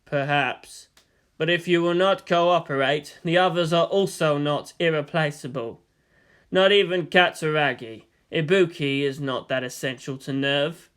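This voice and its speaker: Man acting out a middle-aged commander, storybook villain father, cold, contemptuous, deliberate, threatening. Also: a little high, a bit bright, clear, resonant tone. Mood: menacing.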